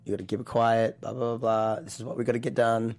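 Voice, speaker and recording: A man with a New Zealand accent, a choreographer telling stories as an interview guest, animated, laughing often and restarting his sentences. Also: mid-range voice, fast talker. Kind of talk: casual interview chat.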